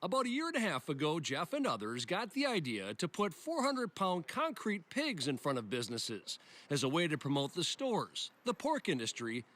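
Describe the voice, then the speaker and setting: Guy reporter voicing a cute news piece, high for a man, super swoopy intonation. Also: huge pitch swings, moderate pace, a bit bright. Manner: punning, light-hearted. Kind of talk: scripted feature narration.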